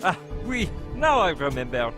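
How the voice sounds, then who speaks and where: An actor playing a vague, forgetful middle-aged French professor with a thick French accent. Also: male voice, on the high side, sing-song, normal speed, over music. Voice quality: thick put-on French accent, mixing French words in. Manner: vague, forgetful and cheerfully oblivious. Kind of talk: video game cutscene acting.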